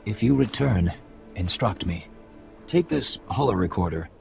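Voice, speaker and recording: An actor playing a solemn alien mystic and husband, speaking in a formal, grave, measured way in a game cutscene. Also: male voice, fairly low pitch, expressive, creaky, full character voice. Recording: game cutscene with loud score. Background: orchestral music.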